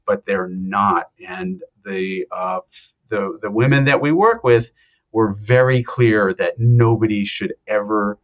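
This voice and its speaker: A male professor from Ohio, answering interview questions in a relaxed, explanatory way, pausing often with ums. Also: fairly low pitch, lo-fi sound. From Ohio, United States. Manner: explanatory, hesitant with many ums. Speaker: anthropology professor, guest. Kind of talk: conversational interview.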